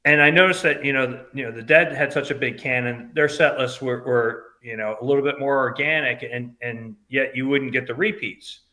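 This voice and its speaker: Loud, bright-voiced man in his fifties. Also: mid-range voice, moderate pace, few pauses. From upstate New York. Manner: loud, projecting voice. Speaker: guest, concert fan. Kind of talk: casual podcast chat.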